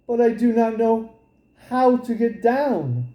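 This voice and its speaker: A man voicing an innocent, trusting young maiden in a fairy tale, gentle and guileless. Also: extremely high pitch, expressive, slow pace, echoey room, muffled recording, pitched above the performer's own voice, heavily acted. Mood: trusting, willing. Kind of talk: storybook character voice.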